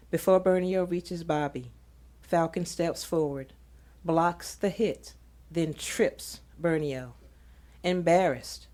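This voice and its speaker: A woman reading scripted audio drama narration in a measured, descriptive manner. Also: low-pitched, darkish tone.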